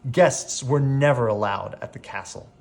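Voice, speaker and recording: A man reading a children's bedtime story aloud as an expressive narrator. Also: medium pitch, moderate pace, vocal fry. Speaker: storybook reader.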